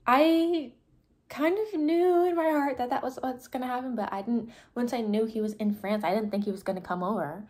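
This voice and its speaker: A young woman chatting excitedly into her phone camera in a bedroom, with lots of "like"s. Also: on the high side, normal speed. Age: young adult. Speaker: vlogger. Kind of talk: casual vlog chat. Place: bedroom indoors. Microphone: phone camera at arm's length.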